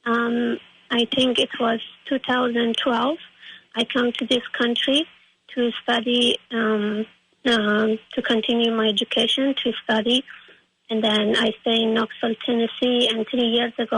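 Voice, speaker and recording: A young woman with an Afghan accent, answering interview questions in a halting, earnest way. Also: on the high side, a bit bright, a little hiss or hum, rough recording. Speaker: interview guest.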